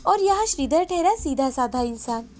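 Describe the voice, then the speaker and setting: High-pitched woman storyteller. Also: animated, moderate pace, darkish tone, faint music behind. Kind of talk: scripted moral story narration.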